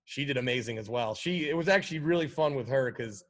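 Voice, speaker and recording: A man who works as a professional rally driver, talking as a guest in a relaxed way with many "like" and "you know" fillers. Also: medium pitch, fast. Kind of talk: casual interview chat.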